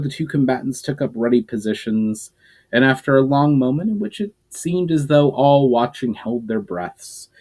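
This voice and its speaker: Guy reading his fantasy book out loud, expressive, trips over a word here and there. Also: mid-range voice. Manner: expressive narration with occasional slips and restarts. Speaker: storyteller. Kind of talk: dramatic reading of a fantasy novel.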